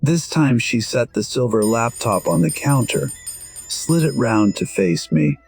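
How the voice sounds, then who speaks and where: A man with a husky voice narrating a scripted first-person story in a measured, reflective manner. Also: mid-range voice, lively intonation, soft background music.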